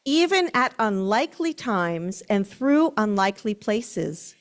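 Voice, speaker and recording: A woman rabbi explaining and leading a service for her congregation in a deliberate, instructive way. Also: medium pitch, very animated.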